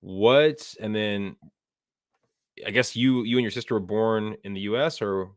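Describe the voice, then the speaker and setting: Guy hosting a podcast, low smooth voice, dry sound, lots of i mean and um while asking stuff. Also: measured pace, dry recording. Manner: hesitant, many "I mean" and "um" restarts. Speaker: podcast host. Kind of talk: interview questions.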